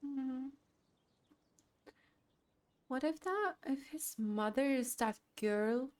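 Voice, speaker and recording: Slow-paced, higher-voiced woman reacting to a game. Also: lively intonation. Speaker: gaming reaction video creator. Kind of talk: casual live reaction commentary on a game.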